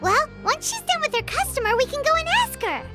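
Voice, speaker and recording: Tiny squeaky girl sidekick from a video game, super high, bubbly, swoopy, loud music behind. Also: big pitch swings, brisk. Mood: curious. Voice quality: squeaky, childlike. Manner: bubbly, inquisitive, exclamatory.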